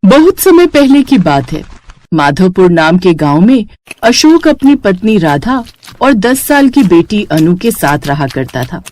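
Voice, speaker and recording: A woman's voice: medium pitch, big pitch swings, a little slow, darkish tone. A woman storyteller reading a scripted moral story for children.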